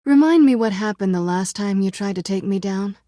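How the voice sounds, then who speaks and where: A woman's voice: mid-range voice, brisk, warm tone, dry recording, clean studio sound, close to an everyday voice. A woman voicing a sly, self-assured cat burglar in a video game, with a sultry, wry, coolly teasing way of talking. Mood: guarded, defiant. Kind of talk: video game voice acting, dramatic dialogue.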